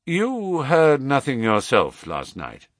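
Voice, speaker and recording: A man reading aloud, playing a calm, precise male detective who asks measured, probing questions. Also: mid-range voice, dry recording, studio quality, pitched above the performer's own voice. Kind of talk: audiobook reading.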